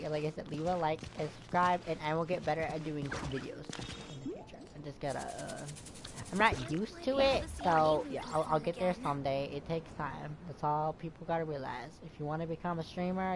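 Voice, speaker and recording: A woman's voice: low voice, a little fast, background noise. A woman giving casual gameplay commentary as a streamer, rambling and thinking aloud. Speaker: gaming streamer. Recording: loud game music behind. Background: game music.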